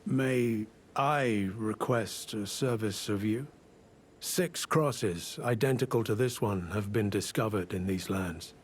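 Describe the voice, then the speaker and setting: An aging knight of a fantasy game, now a scholarly investigator. His voice sits on the low side, and he speaks with grave courtesy and old-fashioned, measured phrasing. Clear background noise and rumble sit behind him. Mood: courteous, measured. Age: older. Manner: formal, archaic, measured, courteous. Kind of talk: video game character dialogue.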